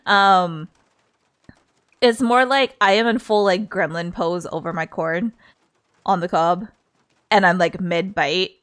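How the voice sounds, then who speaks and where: A woman's voice: fairly low pitch, slow, pauses often, a bit bright, clean tone. A woman livestreamer chatting casually in a playful, giggly, rambling way. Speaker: streamer.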